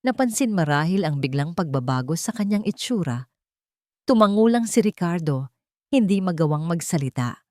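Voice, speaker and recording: A woman narrating a romance drama story in a measured, dramatic storytelling manner. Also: fairly low pitch, lively intonation, darkish tone, no room sound, studio quality.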